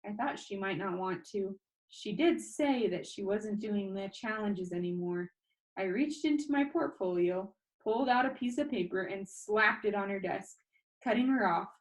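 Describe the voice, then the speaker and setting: Woman, children's novel narration, mid pitch, expressive read-aloud. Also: normal speed, rough recording. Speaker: storybook reader.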